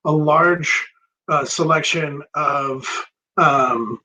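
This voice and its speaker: A man hosting a casual chat about records, relaxed and rambling with many pauses and ums. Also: a little high, a little hiss or hum, poor audio. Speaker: record store host.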